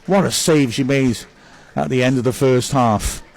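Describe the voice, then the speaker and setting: A man's voice: mid-range voice, normal speed, gravelly, darkish tone, poor audio. Male club commentator, conversational and upbeat, running through players and reading viewer messages. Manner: chatty, upbeat, praises players one by one.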